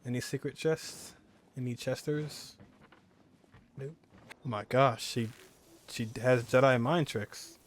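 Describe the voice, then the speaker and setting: A man's voice: mid-range voice, fairly even intonation, slow pace, pauses often, a little hiss or hum. A man giving casual, slangy let's play commentary as a game streamer, reacting as he goes. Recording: game music behind the voice. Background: video game music.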